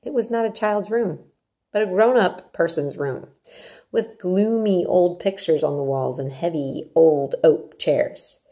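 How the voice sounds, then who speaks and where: A woman reading a children's novel aloud as narrator, in an expressive storytelling manner. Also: fairly low pitch, a little slow. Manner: expressive, explains old words aside. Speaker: storybook reader, teacher-like narrator.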